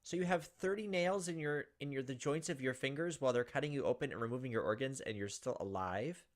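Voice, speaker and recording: Young man, medium-pitched voice. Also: quick pace. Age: young adult. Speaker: let's play host. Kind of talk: reading visual novel text aloud with casual commentary. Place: indoors at a computer, webcam.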